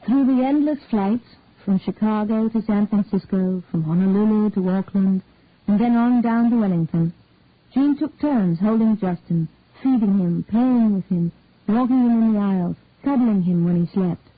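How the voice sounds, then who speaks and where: A woman's voice: mid-range voice, moderate pace, dry recording. A woman reading a story aloud as scripted narration, like an audiobook reader. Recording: narrowband, tinny phone-like sound.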